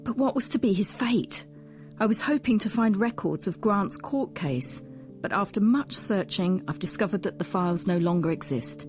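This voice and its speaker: A woman presenting a documentary as a historian, in measured, articulate scripted narration. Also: medium pitch, lively intonation, darkish tone, faint music behind.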